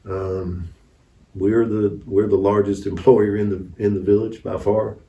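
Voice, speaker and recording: Low voiced guy telling folksy stories in an interview, roomy and kinda far from the mic. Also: little pitch movement, unhurried, lo-fi sound. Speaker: interview guest, missionary preacher. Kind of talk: conversational storytelling. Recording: echoey room, distant mic.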